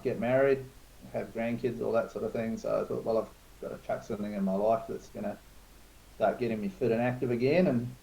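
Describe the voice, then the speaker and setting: A man's voice: fairly low pitch, vocal fry, dark tone, poor audio. A man in his forties from New Zealand, telling stories in a frank, self-mocking way as a guest in a casual chat. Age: 40s. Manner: laid-back storytelling, frank and self-mocking. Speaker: guest, club organizer.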